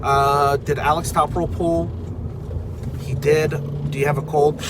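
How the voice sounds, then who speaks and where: A man's voice: a little high, flat delivery, measured pace, noisy background. A young man with a stuffy cold, rambling casually through viewer comments on a live stream. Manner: rambling, filler-heavy, candid. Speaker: arm wrestler streaming a live recap.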